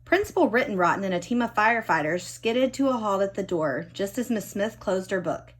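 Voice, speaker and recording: A woman narrates a children's picture book in a very lively, swooping voice. Her tone is a little husky, and she leans dramatically into key words, stretching them out for young listeners. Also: mid-range voice. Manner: animated, dramatic storytelling with drawn-out key words. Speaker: storybook reader. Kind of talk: expressive children's storybook narration.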